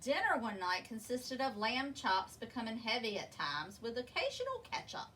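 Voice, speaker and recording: A woman with a bright, very animated voice reads a children's story aloud. She speaks expressively and deliberately, stretching key words. She sounds a little distant from the microphone. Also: a little high. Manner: expressive, deliberate, drawn-out emphasis. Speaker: storybook reader. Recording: distant mic with faint background noise.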